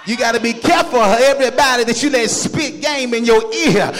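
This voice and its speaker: A man preaching a fiery sermon with emphatic, rhythmic repetition and colloquial phrasing, often rising into shouts and sing-song. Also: extremely high pitch, fairly quick, soft background music. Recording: echoey hall with long reverb.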